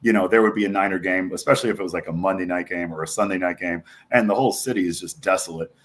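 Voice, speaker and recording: A man's voice: sits low, racing. A man from New York City chatting casually as an interview guest, enthusiastic and rambling as he tells anecdotes. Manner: enthusiastic, rambling, frequent "you know". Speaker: bar owner, podcast guest.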